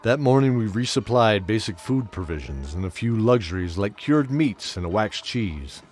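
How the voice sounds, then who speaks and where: A man playing a brooding old knight who tells his own tale in a measured, reflective, dramatic way for an audio drama. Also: lowish voice, big pitch swings, darkish tone. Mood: pensive. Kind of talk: first-person audio drama narration.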